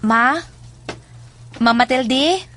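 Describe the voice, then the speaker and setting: A woman's voice: high-pitched, drawn-out words, music in the background. A woman playing a nervous, evasive schoolgirl daughter with a Cebuano Filipino accent in a horror audio drama, stammering and hesitant. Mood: uneasy, confused.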